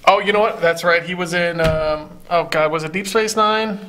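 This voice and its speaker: A man co-hosting a casual chat, talking haltingly with many restarts and fillers, and his own voice is squeaky. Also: steady tone, noisy background. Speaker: podcast co-host.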